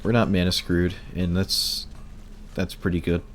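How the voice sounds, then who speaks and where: A man streaming a card game, talking through his plays in casual commentary, hoarse and losing his voice, wry and muttering at bad luck. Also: sits low, little pitch movement, measured pace, slight background noise. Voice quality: breaking, cracking voice.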